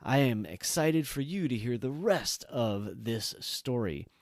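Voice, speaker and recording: A man's voice: medium pitch, lively intonation, slow, dry recording, studio quality. A man introducing himself casually as a podcast guest and tabletop player.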